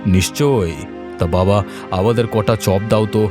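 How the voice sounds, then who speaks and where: A man's voice: lowish voice, a little slow, lightly acted. A man playing a genial, approving village headman in a cartoon fairy tale, talking in a hearty, avuncular way. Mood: curious. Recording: faint music bed.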